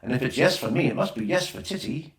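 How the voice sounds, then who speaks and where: A man voicing an eager, playful little boy in a storybook, with an excitable, matter-of-fact manner. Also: mid-range voice, fast talker, pitched above the performer's own voice, natural voice. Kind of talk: storybook character reading. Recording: roomy, echoing voice recording.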